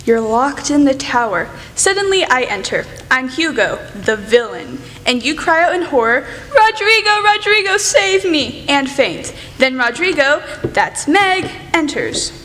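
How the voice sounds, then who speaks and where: A woman's voice: sits high, very animated, measured pace, hardly any pauses, a bit bright, exaggerated voice. A young woman playing a bold, headstrong teenage tomboy sister in a radio play, with emphatic, theatrical delivery. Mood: exasperated, dramatic. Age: teens or young adult. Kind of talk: student radio play acting. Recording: reverberant hall, clear background noise.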